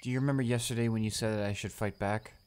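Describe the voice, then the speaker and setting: A man's voice: on the low side, steady tone, fast, darkish tone, lightly acted. Shy, stammering schoolboy, acted by a man. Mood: sheepish, subdued. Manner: stammering, hesitant, nervous. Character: shy, anxious bullied male high school student. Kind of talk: romantic audio roleplay, one-sided dialogue.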